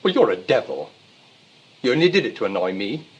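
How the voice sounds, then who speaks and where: An actor playing a temperamental, lovesick young upper-class English gentleman in a stage-style comedy, gushing and petulant by turns. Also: fairly low pitch, very animated, fairly quick, breathy edge, lo-fi sound, exaggerated voice. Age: young adult.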